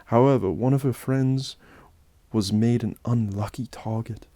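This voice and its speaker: A man with a soft, mellow voice delivers a scripted, satirical tale. His delivery is solemn and theatrical, leaning on key phrases. The sound is dry, with very little room in it. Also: medium pitch, fairly even intonation, measured pace, dry recording. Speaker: host and narrator of a Halloween special. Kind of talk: scripted dramatic narration with satirical asides.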